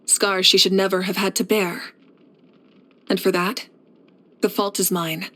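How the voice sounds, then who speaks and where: A woman voicing a steadfast, caring warrior leader in a video game, speaking in a measured, reflective and assured way. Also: medium pitch, little pitch movement, bright, forceful tone. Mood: solemn, caring. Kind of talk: scripted video game character voice lines.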